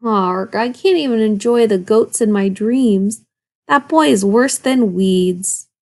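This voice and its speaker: Woman voicing a grumbling, creaky old storybook wolf. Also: medium pitch, slow pace, a little mellow. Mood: grumpy. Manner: growling, wheedling, grumpy. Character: grumbling, sly old male wolf with aching legs. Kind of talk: children's storybook read-aloud.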